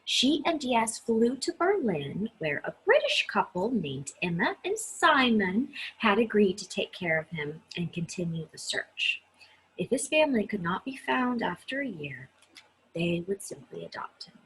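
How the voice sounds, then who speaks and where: A woman storyteller with a markedly husky, breathy voice reads a children's book aloud. Her pitch moves up and down in a lively, animated way, with dramatic emphasis on key words and a conversational touch. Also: unhurried, poor audio. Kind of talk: expressive read-aloud with chatty asides to listeners.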